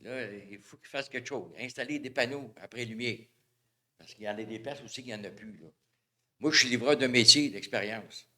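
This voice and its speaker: A man's voice: medium pitch, a little fast, sharp tone. A man with a Québécois accent, a local citizen, making a rambling, hesitant comment at a city council question period. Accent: Québécois French. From Val-d'Or, Quebec. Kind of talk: casual public comment.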